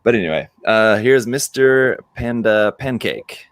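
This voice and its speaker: A man's voice: lowish voice, animated, unhurried, clean tone, dry recording. A man hosting a casual panel chat in an easygoing, conversational way. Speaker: livestream host.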